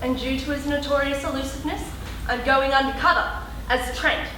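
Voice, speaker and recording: A woman acts out a bumbling, animated reporter hunting superheroes in a live stage comedy. Her high voice carries theatrically from far off in a hall full of echo. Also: brisk. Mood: conspiratorial. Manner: animated, theatrical, addressing the audience. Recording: echoey noisy hall with audience, from far off.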